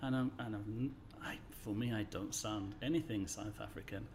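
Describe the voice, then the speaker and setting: A man in his sixties from the English Midlands with a neutralised accent, a guest telling stories in a friendly, measured way in an office interview. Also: fairly low pitch, darkish tone, slight background noise. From England, Midlands. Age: 60s. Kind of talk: conversational interview storytelling.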